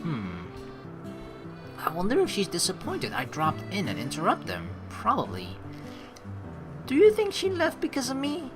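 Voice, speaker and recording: A woman's voice: lowish voice, huge pitch swings, unhurried, long pauses, low-quality recording. A woman doing casual let's play commentary, rambling as she thinks aloud and reading game text, with some casual swearing. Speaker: let's player. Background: game music.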